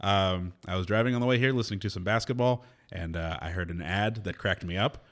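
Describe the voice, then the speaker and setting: A man hosting a radio show in casual, joking banter, his voice hoarse from a lingering cold. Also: deep voice, brisk. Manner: wry, joking, lively. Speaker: radio host. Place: radio studio.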